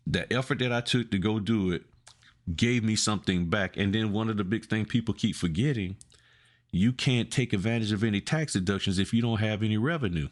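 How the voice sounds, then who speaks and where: A man's voice: on the low side, a little fast. A man co-hosting a podcast, chatting casually and colloquially, making his points through everyday examples. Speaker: podcast co-host. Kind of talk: casual chat.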